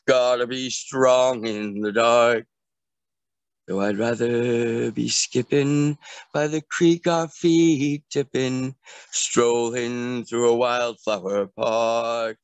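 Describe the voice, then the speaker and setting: Slow, smooth-voiced man reciting lyrics. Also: mid-range voice, fairly even intonation, dry recording. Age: middle-aged. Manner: half-sung, lilting recitation. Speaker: performer at an open mic. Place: outdoors in a garden. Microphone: video call from a handheld device.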